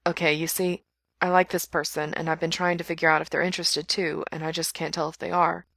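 A woman voicing a shy, understated young man calling a radio show in a story reading, quiet and halting. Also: low voice, level tone, creaky, no echo, full character voice. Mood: unsure, subdued.

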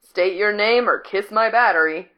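A woman's voice: mid-range voice, moderate pace, sharp tone, natural voice. Casting: sardonic, cocky male informant on the radio played by a woman, mocking delivery, audiobook dialogue.

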